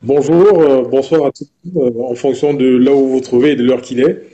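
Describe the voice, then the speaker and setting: A man in his thirties from Gabon, speaking as an interview guest in a measured way with frequent hesitations. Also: mid-range voice, steady tone, dark tone, dull audio. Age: 30s. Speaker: entrepreneur guest. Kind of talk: interview answers.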